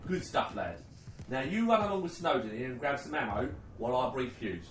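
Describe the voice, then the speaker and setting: A man's voice: medium pitch, sing-song, fairly quick, far from the mic, close to an everyday voice. A man playing a dutiful, careworn British army sergeant in a war radio play, firm, earnest and paternal. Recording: roomy, off-mic, faint background noise.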